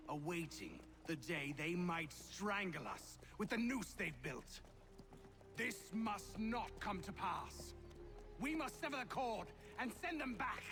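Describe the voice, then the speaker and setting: Game villain: arrogant British general, high, animated, declamatory voice over loud music. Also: male voice, exaggerated voice. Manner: pompous, declamatory, commanding. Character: arrogant, ruthless British army general on campaign. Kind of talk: dramatic video game acting.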